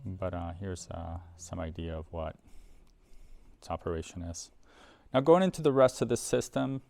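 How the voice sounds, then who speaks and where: A man's voice: deep voice, moderate pace, creaky, warm tone, no room sound, soft background music. A man giving a casual, explanatory product demonstration in an informal way, as a presenter for an equipment seller.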